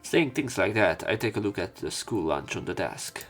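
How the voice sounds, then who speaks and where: A man with a fairly low voice reads a visual novel aloud as a let's play. He voices both narration and dialogue lines himself. Game music plays quietly behind him. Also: big pitch swings, normal speed.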